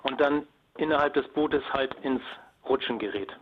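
A man's voice: medium pitch, normal speed, a little hiss or hum. A man explaining things as an expert guest in a phone interview. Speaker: expert guest, submarine engineer and former pilot. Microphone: telephone. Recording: dull band-limited phone line.

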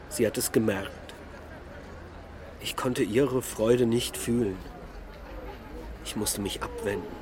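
An actor playing a melancholic middle-aged husband and father in a naturalistic radio drama, musing reflectively in short sentences. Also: fairly low pitch. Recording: loud urban traffic ambience.

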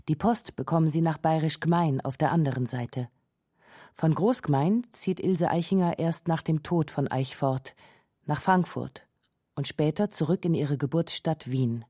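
A woman's voice: sits low, dark tone, no room sound, studio quality. A woman narrating a radio feature from a script. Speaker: documentary narrator.

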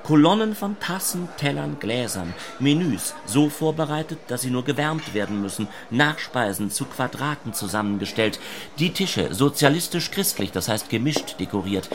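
Creaky-voiced man reading literary narration. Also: mid-range voice, very animated, moderate pace, a crowd in the background. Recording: clear background noise.

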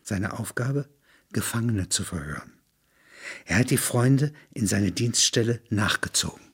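A man's voice: on the low side, animated, unhurried, husky. A man reading literary narration in an explanatory manner, breaking into spoken asides. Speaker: narrator and reader.

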